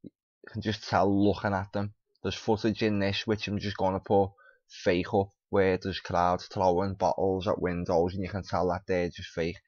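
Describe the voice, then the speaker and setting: A man's voice: deep voice, level tone, normal speed, darkish tone, no room sound. A man hosting a video commentary, reading an article aloud with off-the-cuff remarks and stumbling over words. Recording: dull lo-fi mic, dry.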